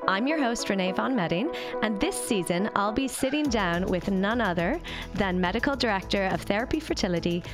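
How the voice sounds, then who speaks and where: A woman hosting a podcast in a friendly way, laughing easily. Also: mid-range voice. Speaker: podcast host.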